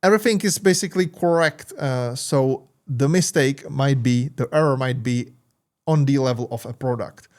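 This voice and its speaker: A tired man from the Czech Republic, speaking English with a Czech accent, hosts a marketing tutorial in halting speech full of fillers and restarts. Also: medium pitch. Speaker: marketing channel host. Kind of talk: screen-share tutorial talk.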